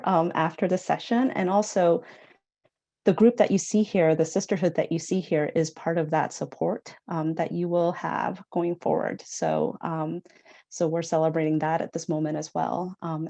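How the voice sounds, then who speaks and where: A woman's voice: on the low side, dark tone. A woman moderating an online panel, graciously thanking speakers and handing over the floor. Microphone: video call.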